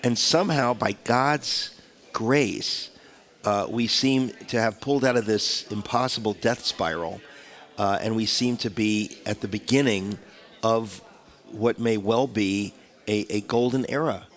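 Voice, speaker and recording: Man, stuffed-up voice, radio host, rambling casual chat, somewhat low pitch. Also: measured pace, fairly bright tone. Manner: rambling, chatty, many restarts. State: stuffed up with a cold. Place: radio studio.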